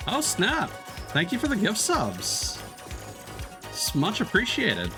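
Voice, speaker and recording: A man's voice: high voice, huge pitch swings, slow pace. A man streaming a video game with casual live commentary, chatty and polite as he thinks aloud. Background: video game music.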